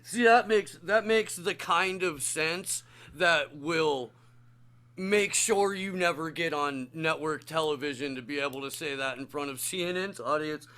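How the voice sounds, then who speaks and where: An American man hosts a casual podcast in a bright, forceful voice pitched a little high. He speaks slowly and haltingly, with some swearing. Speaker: podcast host.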